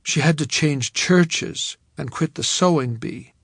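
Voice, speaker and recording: A man's voice: fairly high pitch, slow pace, a bit bright, husky, no echo, studio quality, exaggerated voice. A man voicing a frank, wry young Southern woman, with a faint Southern accent, in an audiobook reading. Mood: weary. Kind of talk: audiobook character voice.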